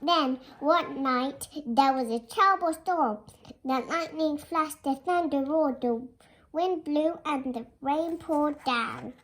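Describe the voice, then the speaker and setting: A child reading a fairy tale aloud in a careful, expressive storytelling manner, as a storybook narrator. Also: high-pitched, takes it slowly, slight background noise. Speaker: storybook reader.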